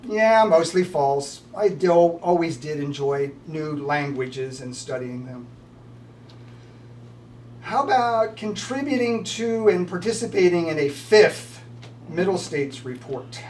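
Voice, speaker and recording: A man's voice: fairly high pitch, expressive, takes it slowly, a little mellow. An older man, a retiring college professor, giving prepared farewell remarks. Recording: distant mic with slight background noise.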